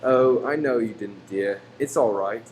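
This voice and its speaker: A gentle Victorian husband acted by a man in a radio play, with a mid-range voice. He is placating, hopeful and earnest. The sound is roomy, with faint background noise. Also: medium pitch, unhurried, close to an everyday voice. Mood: soothing. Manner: soothing, earnest. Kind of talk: old-time radio play acting. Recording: roomy stage recording, faint hum.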